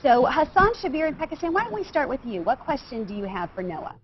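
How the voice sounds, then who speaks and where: A woman hosting a video call, briskly introducing guests and asking questions. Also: high voice, big pitch swings, crowd noise. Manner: brisk, inviting, calls on guests by name. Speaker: host. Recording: dull lo-fi video call audio.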